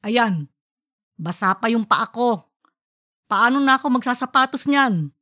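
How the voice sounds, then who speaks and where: A woman's voice: fairly high pitch, animated, a little slow, sharp tone. A woman voicing a fussy, easily irritated middle-aged husband who grumbles with exasperation in an audiobook story. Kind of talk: audiobook character voice.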